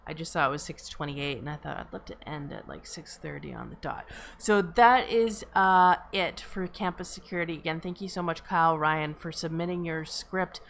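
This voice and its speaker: A woman with a slightly low voice hosts a livestream in an informal, conversational style. Faint noise sits behind her voice. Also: normal speed, creaky, hardly any pauses, fairly bright tone. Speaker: host of a livestreamed script table read. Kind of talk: casual hosting.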